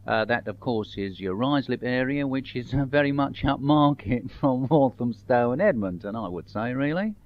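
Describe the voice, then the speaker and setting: A man's voice: medium pitch, lively intonation, lightly acted. Posh English bore, played by a man, snobbish, pompous, swooping drawl, dull tape. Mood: smug. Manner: pompous, drawn-out. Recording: dull home-made tape.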